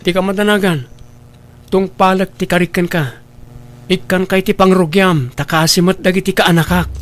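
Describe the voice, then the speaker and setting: A man acting as a firm, helpful younger sibling in an Ilocano radio drama. His voice is high for a man, with an Ilocano accent. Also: steady tone, normal speed, natural voice. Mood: firm, reassuring. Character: firm younger sibling who helps out the elder sister.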